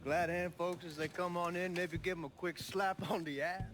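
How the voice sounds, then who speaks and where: An actor playing a brash, sarcastic young American heir to a crime boss in a video game drama. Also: male voice, sits high, steady tone, normal speed, music in the background, close to an everyday voice. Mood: mocking.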